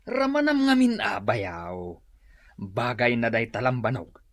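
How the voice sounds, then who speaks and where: A man's voice: medium pitch, huge pitch swings, a little slow, fairly bright tone, no room sound. A man with an Ilocano accent acting a teasing, swaggering village brother-in-law in a comedy radio drama. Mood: boasting, goading.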